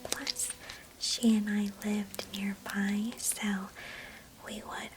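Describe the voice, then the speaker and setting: A woman playing a chatty, affectionate, nostalgic cousin in an ASMR roleplay, whispering gently in an unhurried, breathy way. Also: medium pitch, little pitch movement, background noise. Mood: wistful, chatty. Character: chatty, affectionate, nostalgic female cousin, former ballet student.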